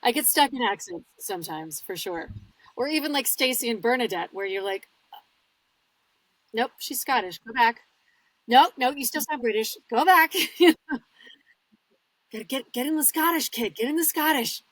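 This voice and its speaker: Higher-voiced North American woman, dry close sound. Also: lively intonation, moderate pace, long pauses, no echo, low-quality recording. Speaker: audiobook narrator, interview guest. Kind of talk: casual podcast chat.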